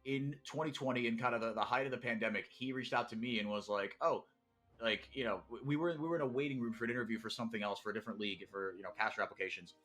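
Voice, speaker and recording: Bright-voiced man calling esports action. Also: medium pitch, racing, rough recording. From Brazil. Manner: excitable, rising to shouts in big plays. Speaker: play-by-play caster. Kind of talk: esports play-by-play commentary.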